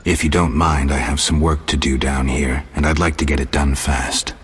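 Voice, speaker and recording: An actor playing a gruff, laconic monster-hunting mercenary in a video game, speaking tersely and dryly with a gravelly, husky voice. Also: male voice, extremely low pitch, moderate pace, full character voice. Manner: terse, dry, deadpan. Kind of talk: video game character acting.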